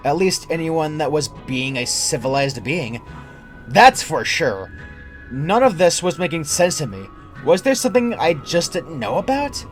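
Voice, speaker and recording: A man's voice: fairly high pitch, big pitch swings, measured pace, soft background music. A young adult man reading a scripted first-person creepypasta as its narrator. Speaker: horror story narrator.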